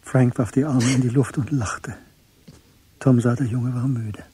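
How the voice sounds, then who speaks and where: The composed narrator of a crime radio play. His voice is very dark and breathy, middle in pitch, and he reads with measured understatement. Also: steady tone, dry recording. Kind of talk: scripted radio drama narration.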